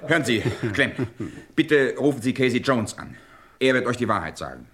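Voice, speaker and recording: A man's voice: medium pitch, sing-song, moderate pace, fairly bright tone. An actor playing an insistent, defiant man on the run in a radio crime play. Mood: indignant.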